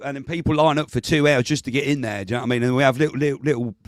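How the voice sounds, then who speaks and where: A man chatting on a video call, rambling and laughing as he talks, clearing his throat as his voice comes back. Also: mid-range voice, steady tone, rapid-fire, no room sound, studio quality. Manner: rambling, laughs often, restarts sentences. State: voice recovering, clears throat often. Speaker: guest with local knowledge. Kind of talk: casual chat on a video call.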